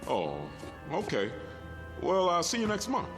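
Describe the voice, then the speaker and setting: An actor playing a chatty, easily amazed meter reader with a folksy, exclamatory manner in a mid-century TV drama. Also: male voice, high voice, huge pitch swings, unhurried, exaggerated voice. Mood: jovial, chatty. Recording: vintage TV soundtrack with music.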